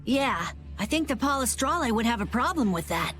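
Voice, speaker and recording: A woman's voice: fairly high pitch, very animated, fairly quick, fairly bright tone. A woman playing a composed, observant young adventurer in a video game. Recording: music under the voice.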